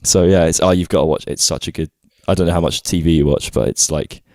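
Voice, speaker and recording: A man hosts a podcast with a lowish voice, chatty and quick to laugh. The sound is dry and close, with no room in it. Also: fairly even intonation, mellow, dry recording. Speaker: podcast host. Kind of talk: casual podcast conversation.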